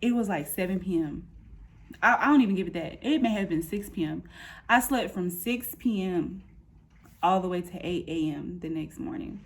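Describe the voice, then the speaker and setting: A woman's voice: on the low side, normal speed. A woman of thirty giving a casual personal update, talking in a candid, informal, slangy way. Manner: candid, informal, slangy, animated retelling with quoted asides. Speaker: vlogger. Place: apartment room, indoors.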